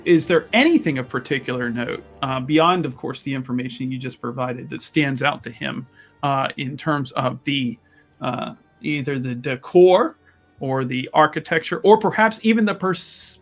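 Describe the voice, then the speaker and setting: A man's voice: mid-range voice, a little slow, fairly bright tone, soft background music. A man playing a tabletop roleplaying game, improvising casually with wordy, playfully formal turns of phrase.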